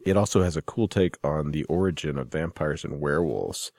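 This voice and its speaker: A man's voice: very deep voice, fairly quick, creaky, darkish tone, no echo. A man hosting a podcast, giving introductions and putting questions to a guest. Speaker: podcast host and interviewer.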